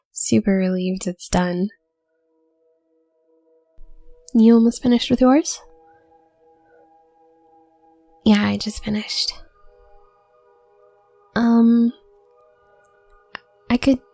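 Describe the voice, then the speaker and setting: Shy student witch, played by a woman: young, soft-spoken, nervous stutter, unhurried, slightly creaky voice, for an intimate audio roleplay. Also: a little high, no echo, heavily acted. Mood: gently friendly, shy. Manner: nervous stutter, trailing off, hesitant.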